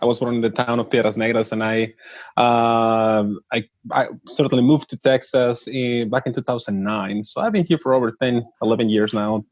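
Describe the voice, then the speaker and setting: A man's voice: mid-range voice, fairly even intonation, moderate pace, no room sound. An earnest startup chief from northern Mexico, thirties, who restarts his sentences often. The sound is dull and lo-fi, with no room around it. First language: Spanish. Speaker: startup CEO, guest. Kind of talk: interview answers. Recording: dull dry lo-fi audio.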